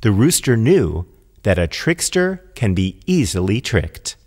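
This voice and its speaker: A man's voice: fairly low pitch, very animated, unhurried, darkish tone, big-room sound. A man narrating a children's fable as a scripted storyteller.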